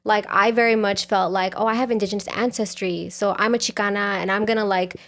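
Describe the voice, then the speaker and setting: Woman thinking out loud on a podcast. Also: mid-range voice, fairly even intonation, fast talker. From Los Angeles. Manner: reflective, thinks out loud, many "like"s. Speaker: podcast guest. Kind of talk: casual podcast conversation.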